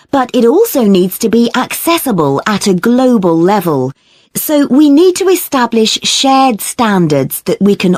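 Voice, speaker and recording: A woman playing a lecturer, delivering a scripted academic talk in a measured, articulate, explanatory way. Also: medium pitch, darkish tone, no room sound, studio quality. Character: female academic lecturer explaining problems and solutions.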